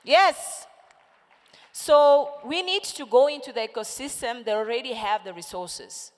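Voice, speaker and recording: A woman's voice: a little high, unhurried. A woman in her forties whose first language is Portuguese, giving an impassioned, rallying talk as a panelist, calling out questions to a conference audience. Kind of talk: motivational stage talk. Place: large conference hall with audience. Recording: echoey conference hall.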